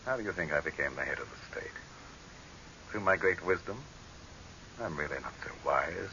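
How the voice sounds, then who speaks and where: An actor playing a proud, scornful dictator facing death in a 1930s radio horror drama, with a commanding, oratorical delivery on a hissy old recording. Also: male voice, low voice, normal speed, bright, forceful tone, lo-fi sound.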